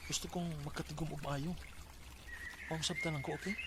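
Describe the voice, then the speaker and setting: A man's voice: on the high side, fairly even intonation, brisk, close to an everyday voice. A man with a Cebuano Filipino accent acting a weary, withdrawn, overworked boyfriend in a radio drama. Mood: apologetic, firm. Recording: very noisy radio drama recording.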